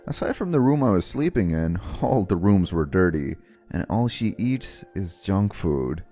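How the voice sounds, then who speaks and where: A man's voice: deep voice, a little slow, faint music behind, heavily acted. A man voicing an exhausted, polite young office worker who gets flustered, in an earnest manga dub style. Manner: earnest, weary, expressive. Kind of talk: anime-style manga dub narration and dialogue.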